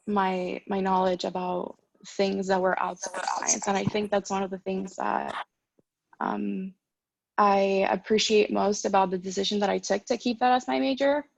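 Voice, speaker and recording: A woman's voice: medium pitch, gravelly, no room sound. A woman in her twenties from Cuba, a dental student on a panel, telling her story earnestly with frequent ums and restarts, heard over a video call. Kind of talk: panel talk, personal story. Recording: dull lo-fi dry sound.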